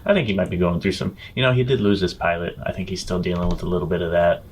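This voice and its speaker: A man with a fairly low voice plays a tabletop roleplaying game. He phrases things casually and with some hesitation, over a faintly noisy background. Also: fairly even intonation, fast talker. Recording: clear background noise.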